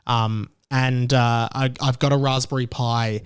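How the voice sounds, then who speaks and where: Chatty man, smooth voice. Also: medium pitch, level tone, measured pace. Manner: rambling, self-interrupting, many fillers. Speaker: podcast host. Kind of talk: casual rambling podcast chat.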